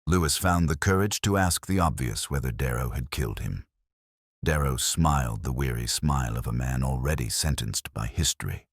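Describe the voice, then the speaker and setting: A man narrating a mystery in the first person as a weary, reflective detective, in a measured, literary, brooding manner. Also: rumbling low, animated, husky, dry recording, clean studio sound. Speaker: narrator of a detective audio drama.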